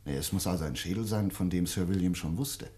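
An actor playing a courteous, inquisitive doctor in a German detective radio play, speaking in a deliberate, thoughtful way. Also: male voice, low voice, darkish tone, natural voice. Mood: thoughtful, puzzled. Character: inquisitive, courteous male doctor investigating a mysterious disappearance.

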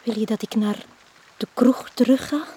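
An actress playing a lonely, quietly insistent young woman with a Flemish accent, speaking softly and hesitantly in a radio drama. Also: on the high side, normal speed, slightly rough. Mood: wistful, pleading. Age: young adult. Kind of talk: 1980s Dutch-language radio drama acting.

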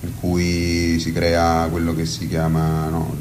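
A man, a musician in a casual radio interview, talking haltingly with a tongue-in-cheek manner. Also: low-pitched, monotone, a little mellow, a little hiss or hum. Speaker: musician and performer being interviewed. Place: radio studio.

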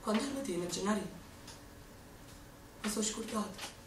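A woman's voice: medium pitch, steady tone, moderate pace, background noise, natural voice. A young woman with a Neapolitan accent acting a brave, tender partisan girl in a radio drama. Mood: tender hushed. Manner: pleading, emotional, dialect-heavy delivery. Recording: roomy, distant mic, music underneath.

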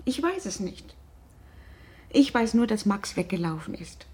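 A worried, wealthy mother in middle age in a radio play. Her voice is very husky and soft-edged, and she speaks with formal reserve. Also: mid-range voice, big pitch swings, unhurried. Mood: worried, guarded. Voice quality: husky, breathy. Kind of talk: naturalistic German radio drama.

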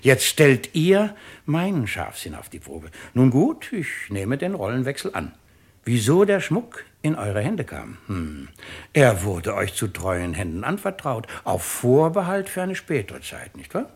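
An actor playing a shrewd, pompous, mocking presiding judge in a 1950s radio play. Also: male voice, mid-range voice, wildly swooping pitch, a little slow, husky, full character voice. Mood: sly, mocking. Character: shrewd, sardonic male presiding judge of a French tribunal.

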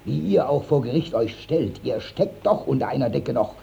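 A man's voice: mid-range voice, animated, moderate pace, gravelly, mellow, a little hiss or hum. An actor playing a suspicious, scolding old peasant father in a classical German radio drama. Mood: angry threatening. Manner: scolding, threatening. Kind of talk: classical German stage-style radio acting. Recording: dull 1950s radio drama recording.

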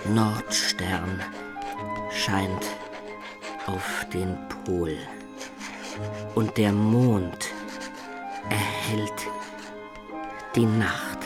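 An actor playing a lovesick young medieval student who recounts his life in a poetic, measured radio drama style, with hushed asides. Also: fairly low pitch. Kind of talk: literary radio drama narration.

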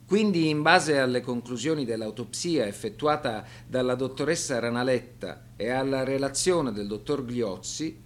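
A man reading scripted radio documentary narration in a measured, serious, formal manner. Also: mid-range voice.

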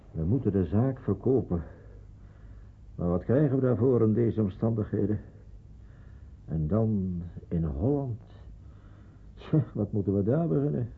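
A man's voice: lowish voice, slightly rough, full character voice. An actor playing a polite, hesitant shopkeeper and father in a radio drama, worried and unsure of himself. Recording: muffled, phone-like old radio recording.

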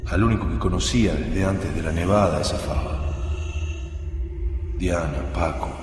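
Music runs under a cavernous, echoing voice: a pensive Argentine man, a survivor turned fighter. His voice is extremely low, and he reflects in measured phrases, as in a radio play's inner monologue. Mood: reflective. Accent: Argentine Rioplatense. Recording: echoing reverb over prominent music.

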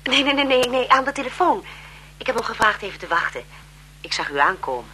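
A bright-voiced, deferential governess. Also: female voice, on the high side, very animated, fairly quick, a little hiss or hum. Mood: flustered, eager. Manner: polite, eager, dramatic in telling news. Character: deferential, chatty, gossipy governess to a marquise's children. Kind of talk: 1970s Dutch radio drama acting.

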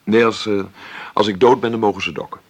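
An actor playing a wry, stubborn middle-aged film maker who talks haltingly and conversationally in a radio play interview. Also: male voice, fairly low pitch, fairly even intonation, close to an everyday voice. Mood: stubborn, defiant. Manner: conversational, halting, adds tag questions. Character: celebrated 53-year-old film maker, wry, stubborn, self-made. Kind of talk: radio drama played as an interview.

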